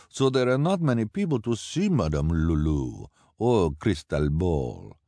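A man voicing a scheming, greedy female fortune-teller who flatters and speaks broken English with a strange foreign accent. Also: lowish voice, no room sound, studio quality, pitched above the performer's own voice. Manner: wheedling, ends phrases with "please". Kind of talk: audiobook character voice.

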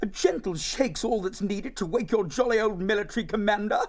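A man's voice: high-pitched, a little fast, darkish tone, pitched above the performer's own voice. A man voicing a greedy young male hare who blusters in a plummy, old-fashioned manner. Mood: indignant bluster.

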